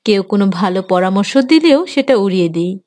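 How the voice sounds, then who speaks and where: A woman narrator plays a young mother in a moral cartoon. Her voice is of medium pitch. She is dutiful and ready to repent, speaking earnestly and respectfully to her father. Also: lively intonation, brisk, a little mellow, natural voice. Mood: remorseful. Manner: earnest, deferential. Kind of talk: Islamic moral cartoon dialogue.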